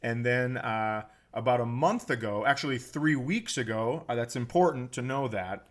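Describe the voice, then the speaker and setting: An American man talking as a comedian on a podcast. His voice sits at an ordinary middle pitch, and he chats casually with a joking, foul-mouthed streak. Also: moderate pace. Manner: profane, joking.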